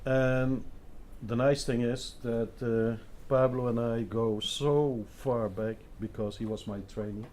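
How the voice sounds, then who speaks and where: A man's voice: medium pitch, fairly even intonation, takes it slowly, a little mellow, slight background noise. A man speaking as a designer on a talk panel, candid and musing, checking in with his listeners. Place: event venue in front of an audience.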